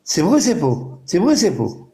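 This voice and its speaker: A man speaking in a group voice chat with a mellow, soft-edged tone that sits a little high for a man. He is slow and insistent, repeating the same lines again and again. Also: huge pitch swings. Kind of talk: casual group voice chat, repetitive rhetorical questions.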